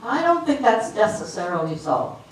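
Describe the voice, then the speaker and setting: A woman playing a reasonable, level-headed secretary with a calm, matter-of-fact delivery on stage. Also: fairly high pitch, normal speed. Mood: calm, skeptical. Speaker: amateur stage actor. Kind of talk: readers theater stage performance. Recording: echoey hall, distant mic, faint noise.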